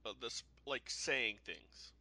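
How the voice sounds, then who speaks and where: A man's voice: mid-range voice, animated, slow, pushed and bright, no room sound. A man co-hosting a podcast in casual chat, rambling with lots of "like" and restarting his sentences. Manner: rambling, full of "like", trails off and restarts. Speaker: podcast co-host. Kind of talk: casual chat about a TV show.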